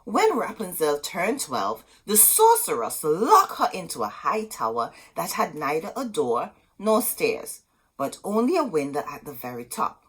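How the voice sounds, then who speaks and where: Slow, animated woman reading to children. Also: on the low side, slightly rough. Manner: expressive, addressing young children. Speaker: children's storytime host and reader. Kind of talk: children's storybook read-aloud with asides.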